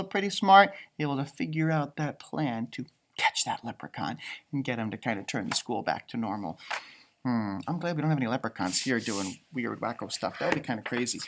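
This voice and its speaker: Male storytime teacher, highly animated with big pitch swings, reading picture books and chatting to young children. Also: brisk, background noise. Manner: animated, expressive, exclaiming and musing aloud. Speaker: storytime reader and elementary teacher. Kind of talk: children's picture book read-aloud with chatty asides.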